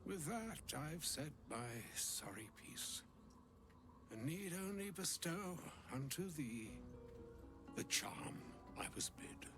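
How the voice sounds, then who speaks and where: An actor playing a solemn, kindly old wizard in a video game, with theatrical, archaic phrasing. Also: male voice, fairly high pitch, animated, takes it slowly. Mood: solemn, regretful. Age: older. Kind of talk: video game dramatic acting. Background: music.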